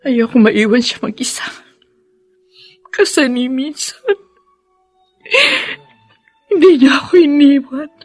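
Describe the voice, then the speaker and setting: An actress playing a middle-aged wife and mother grieving her husband in a radio drama, with an emotional, drawn-out delivery. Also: high voice, darkish tone, no echo, faint music behind. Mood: sorrowful, weary.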